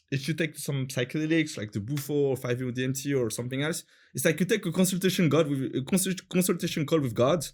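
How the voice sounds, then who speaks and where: A man in his thirties from France with a French accent, chatting casually as an interview guest, searching for words with many fillers and restarts. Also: a little high.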